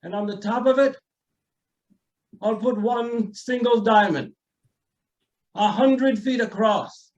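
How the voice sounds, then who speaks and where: A man's voice: high-pitched, takes it slowly, long pauses. A man playing an imperious rich patriarch in a radio play, commanding and grandly declaiming. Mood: grandiose pleading. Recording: distant, dull lo-fi sound.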